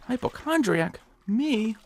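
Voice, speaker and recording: An actor playing a nervous, hapless hypochondriac in an audio drama, fretful and stammering. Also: male voice, high-pitched, big pitch swings, takes it slowly, vocal fry, slight background noise, exaggerated voice. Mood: self-pitying, whiny. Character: nervous, whiny nebbish hypochondriac man, eager and hapless. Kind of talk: audio drama acting in old-time radio suspense style.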